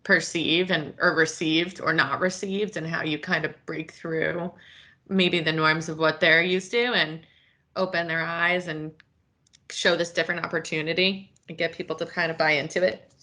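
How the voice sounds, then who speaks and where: A woman with a lowish, bright, sharp voice co-hosts the conversation and asks long, rambling questions. She often adds short supportive murmurs. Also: flat delivery, moderate pace. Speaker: podcast co-host. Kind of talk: casual interview conversation.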